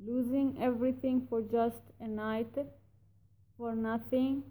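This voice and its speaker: A woman giving a reflective spoken reply, talking in a halting, careful way and pausing often to find her words. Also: a little high, little pitch movement, dark tone. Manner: reflective, thoughtful questioning. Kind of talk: recorded spoken reply about a story.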